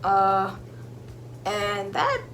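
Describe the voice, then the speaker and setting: A young woman hosting her channel, reading search answers aloud and breaking into emphatic, animated asides. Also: mid-range voice, very slow, a little hiss or hum. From Jamaica. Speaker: YouTube host. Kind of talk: reading search results with commentary.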